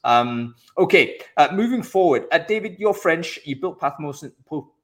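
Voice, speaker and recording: A man's voice: on the high side, lively intonation, normal speed. A man hosts an interview show in a casual, conversational way. He often restates what his guest has said before moving on to the next question. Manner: conversational, recaps the guest's points. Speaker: podcast host.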